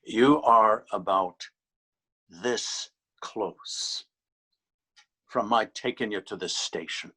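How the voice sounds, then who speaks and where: A man's voice: lowish voice, takes it slowly, pauses often, pushed and bright, slightly rough, heavily acted. An actor in a readers theater drama playing a stern, weary policeman, admonishing and authoritative. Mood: irritated. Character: stern, weary Irish male beat policeman, bullying. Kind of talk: readers theater stage acting.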